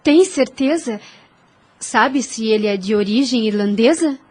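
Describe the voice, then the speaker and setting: Woman acting gentle young heroine, higher voice, breathy edge, formal sentimental delivery, audio drama. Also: animated, measured pace. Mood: anxious. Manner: earnest, formal, sentimental diction. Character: gentle, devout, hopeful young woman in love. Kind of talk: Spiritist radio drama acting.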